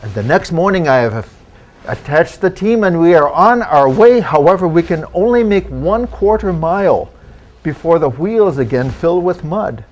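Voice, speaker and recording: A man's voice: fairly high pitch, darkish tone. Man playing a formal old-time country doctor. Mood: wry, storytelling. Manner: formal old-fashioned phrasing, deliberate, theatrical. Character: genial 19th-century German immigrant country doctor, travelling storyteller. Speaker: historical reenactor performing for an audience. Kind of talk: first-person living-history storytelling in character.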